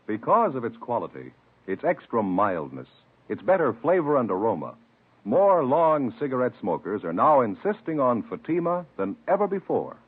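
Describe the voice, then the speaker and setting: A man's voice: mid-range voice, sing-song, moderate pace, slightly rough, phone-quality sound. A man announcing a 1950s radio commercial in an emphatic, salesmanlike style. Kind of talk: 1950s radio cigarette advertising pitch. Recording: band-limited vintage radio recording.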